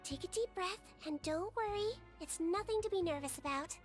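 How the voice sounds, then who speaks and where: High-voiced gentle young nurse. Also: female voice, moderate pace, faint music behind. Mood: reassuring. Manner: reassuring, clinical, polite. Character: gentle, reassuring young female nurse, sweet and professional. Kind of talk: video game voice acting.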